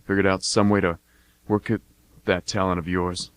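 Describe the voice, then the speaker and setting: A man's voice: sits low, little pitch movement, moderate pace, clean tone, lightly acted. A man playing a laid-back mercenary older brother in an amateur radio drama, with a casual, folksy delivery. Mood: fondly teasing.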